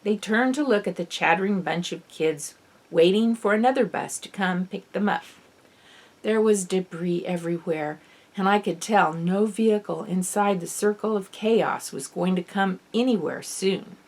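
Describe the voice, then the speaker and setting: A woman reading expressive scripted narration from a novel as an audiobook. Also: mid-range voice, normal speed. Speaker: author reading own novel.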